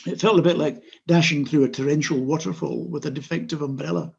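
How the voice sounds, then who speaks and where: An older man, reading his own memoir prose aloud in a measured, wry manner over a video call. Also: a little high, steady tone, creaky, slightly rough, dull audio. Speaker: author.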